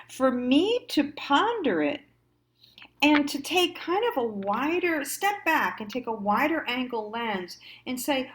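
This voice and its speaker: Slow-talking American woman, musing and halting. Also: a little high. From United States. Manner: halting, musing, emphatic on key words. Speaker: host of a personal storytime show. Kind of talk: reflective monologue to an audience.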